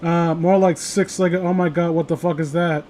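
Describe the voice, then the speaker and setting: Male host, fairly high voice, loose sweary banter over light background noise. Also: level tone, moderate pace, a little mellow. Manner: rambling, foul-mouthed, joking. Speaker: host of a horror story reading show. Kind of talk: casual chat and commentary on stories. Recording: noisy home recording, clear background hiss.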